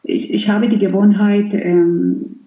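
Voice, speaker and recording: A woman's voice: mid-range voice, little pitch movement, takes it slowly, mellow, echoey room, highs cut off. A middle-aged woman whose first language is Spanish, speaking German in a thoughtful, hesitant interview with many fillers. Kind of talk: reflective personal interview.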